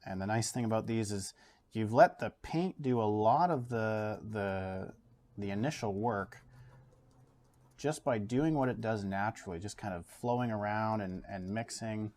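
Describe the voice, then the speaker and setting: A relaxed Canadian man with a fairly low voice talks a viewer through a drawing, pausing with easy fillers as he works. He sounds like he is demonstrating at a table in his home studio. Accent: Canadian English. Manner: relaxed, thinks aloud with fillers while drawing. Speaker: art educator. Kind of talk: casual art demonstration.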